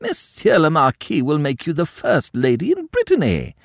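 Guy putting on a high shocked snooty countess, scolding, voice leaps all over, dry close sound. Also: wildly swooping pitch, moderate pace, gravelly, dry recording, exaggerated voice. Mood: indignant. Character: haughty prudish aristocratic older countess.

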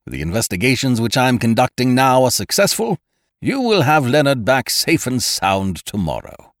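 A booming, breezy chancer from a comic storybook, acted by a male narrator. He is hearty and emphatic, pitched up and swooping widely as he smooth-talks his listener. The sound is close and dry. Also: sing-song, moderate pace, no echo. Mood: confidently reassuring. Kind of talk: humorous audiobook character dialogue.

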